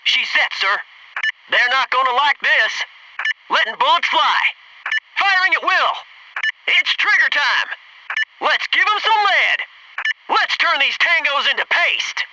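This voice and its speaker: A man's voice: extremely high pitch, sing-song, a little slow, pauses often, recorded from a distance, noisy background, exaggerated voice. An American man playing a wisecracking, gung-ho Navy SEAL in a video game, with cocky, clipped military delivery. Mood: eager, confident, then fired up. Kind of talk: video game combat barks.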